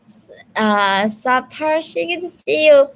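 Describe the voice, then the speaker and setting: A woman's voice: high-pitched, animated, slow pace, rough recording. A woman from North Korea with a Korean accent, answering viewer questions in an earnest, casual live stream with lots of fillers. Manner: earnest, talkative, many fillers and restarts.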